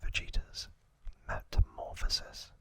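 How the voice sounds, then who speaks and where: A man whispering an ASMR recap as host, in short, deliberate phrases with pauses between them. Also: bright, forceful tone.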